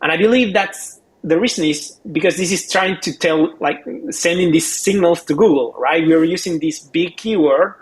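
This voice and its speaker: Male expert co-host, slightly high voice, casual explainer who repeats words while thinking aloud. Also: normal speed, poor audio.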